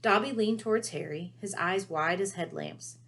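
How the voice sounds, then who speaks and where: A woman giving an expressive read-aloud narration of a storybook. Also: mid-range voice, moderate pace. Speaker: storybook reader.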